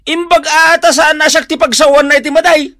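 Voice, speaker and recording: A man's voice: very high-pitched, steady tone, fairly quick, sharp tone, no echo, clean studio sound. An actor playing a stubborn, defensive married village man in an Ilocano radio drama, protesting and blustery. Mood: indignant.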